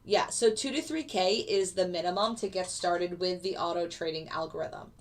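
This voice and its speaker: A woman's voice: fairly low pitch, moderate pace. A woman who teaches trading, casually explaining her settings and walking viewers through a live chart as a trade plays out.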